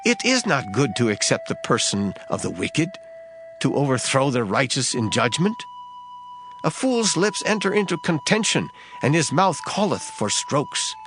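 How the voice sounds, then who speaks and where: A man reads scripture as dramatized narration, solemn and measured. His pitch moves expressively through each emphatic phrase, with music playing clearly underneath. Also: very animated. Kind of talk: dramatized King James Bible reading. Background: background music.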